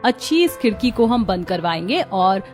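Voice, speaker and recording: A woman acts a warm young daughter-in-law in a family cartoon. The voice sits at a middle pitch and is earnest and expressive, over background music. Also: normal speed, close to an everyday voice. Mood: kind, planning. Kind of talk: Hindi cartoon dubbing.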